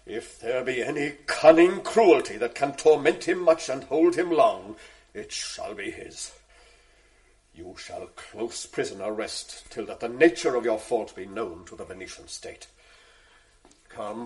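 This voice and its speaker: A man's voice: mid-range voice, big pitch swings, slow pace, a little mellow. An actor playing a stern Venetian nobleman who gives orders in verse in a 1980s radio drama.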